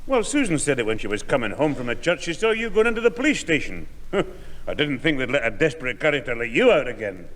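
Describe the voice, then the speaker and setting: A man's voice: mid-range voice, sing-song, fairly quick, a bit bright. An actor playing a grumpy, needling old grandfather in a 1960s radio comedy. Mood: sarcastic. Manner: sardonic, needling. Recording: reverberant old radio studio recording.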